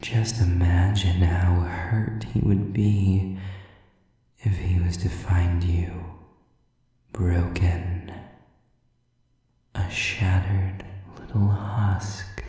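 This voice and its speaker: Casting: sadistic predatory demon for audio roleplay, played by a man; low, cold, taunting whisper, echoing around the room. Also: little pitch movement, dark tone, recorded from a distance. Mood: taunting threat. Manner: slow, deliberate, breathy whispered menace, taunting. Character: sadistic male demon, cold, menacing, mockingly possessive predator. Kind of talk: intimate audio roleplay acting.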